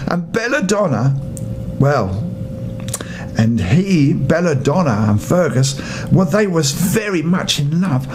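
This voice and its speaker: An older man reading a bedtime story to children, playful and expressive, speaking to his young listeners. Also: a little high, slight background noise.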